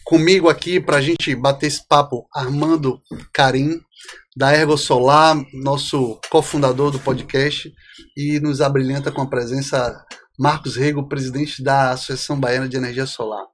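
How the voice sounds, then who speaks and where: A man hosting a podcast who interviews a guest in a casual, slightly halting way. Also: mid-range voice, steady tone.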